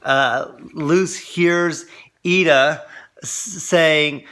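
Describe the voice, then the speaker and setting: A man reviewing an episode in casual chat, explaining things earnestly and haltingly as he goes. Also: a little high, fairly bright tone, clear, resonant tone.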